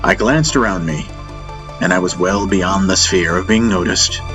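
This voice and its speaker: A man reading a dramatic first-person audiobook story in deliberate, theatrical phrases with weighty pauses. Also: sits low, fairly bright tone. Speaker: audiobook narrator. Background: atmospheric music soundtrack.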